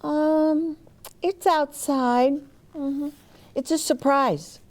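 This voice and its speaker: A woman reading as a worried young schoolboy from a storybook, halting and unsure. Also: high-pitched, fairly even intonation, darkish tone, pitched above the performer's own voice. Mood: evasive, hesitant.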